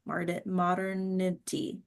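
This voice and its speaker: A woman's voice: fairly low pitch, monotone, takes it slowly, vocal fry. A woman narrating the stage directions at a script table read, occasionally stumbling over words.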